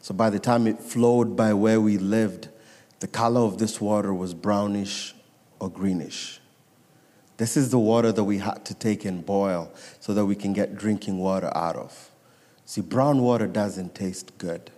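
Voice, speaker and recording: Kenyan-accented male guest speaker, lowish mellow voice, telling his story to a congregation, repeating for emphasis, big hall reverb. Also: steady tone, a little slow. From Nairobi, Kenya. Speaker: guest speaker giving testimony. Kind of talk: personal testimony to a church congregation. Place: church sanctuary, amplified to a congregation. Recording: echoey large hall reverb.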